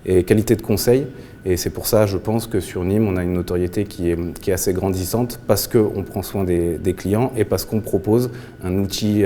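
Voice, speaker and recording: A man's voice: low voice, warm tone, slight background noise. A man in his thirties from the Paris suburbs, a shop founder answering interview questions earnestly, with frequent restarts and fillers. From Paris suburbs, France. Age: 30s. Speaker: clothing brand founder.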